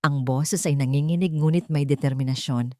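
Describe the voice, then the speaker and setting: A woman with a Filipino accent tells a dramatic audio story. Her voice sits on the low side and sounds dry and close, with no room around it. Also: steady tone, normal speed, warm tone, no echo. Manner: dramatic storytelling, voicing characters' lines. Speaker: narrator. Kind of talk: scripted audio drama narration.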